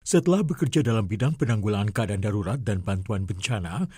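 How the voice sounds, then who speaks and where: Husky, mellow male news reporter. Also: lowish voice, quick pace, dry recording, clean studio sound. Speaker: reporter narrator. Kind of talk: scripted news report narration.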